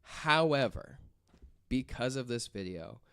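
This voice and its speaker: A man's voice: medium pitch, lively intonation, takes it slowly, sharp tone, smooth, no echo, clean studio sound. A man presenting a story on a casual podcast, reading from notes and rambling through asides peppered with "like".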